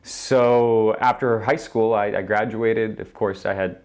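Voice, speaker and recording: Low-voiced earnest young man. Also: steady tone, slow, darkish tone. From Ukraine. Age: 20s. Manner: earnest, thoughtful, with frequent ums. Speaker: guest. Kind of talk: reflective interview answers. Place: indoor studio.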